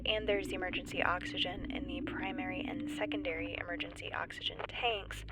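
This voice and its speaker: A woman playing a nervous stranded geologist in a sci-fi audio drama log, halting and rambling as she tries to stay composed. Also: on the low side, noisy background. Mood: nervous, apologetic. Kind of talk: audio drama monologue, found-footage log.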